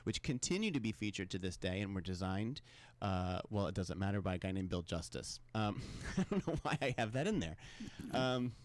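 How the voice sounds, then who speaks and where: A man's voice: lowish voice, sing-song, brisk. A man presenting a music history segment on a podcast. He reads from prepared notes, chats casually and laughs at his own slips. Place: studio.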